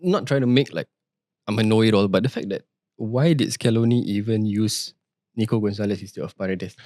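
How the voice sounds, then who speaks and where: A man's voice: on the low side, fairly quick, a little mellow, no room sound, studio quality. A man co-hosting a football chat, eager and repeating himself as he makes his case. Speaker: podcast co-host.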